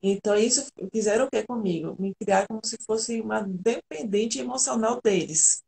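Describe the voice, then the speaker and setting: A woman's voice: medium pitch, moderate pace, gravelly, darkish tone. A woman from Argentina, an integrative therapist, telling her personal story earnestly and candidly as a guest on a live video call.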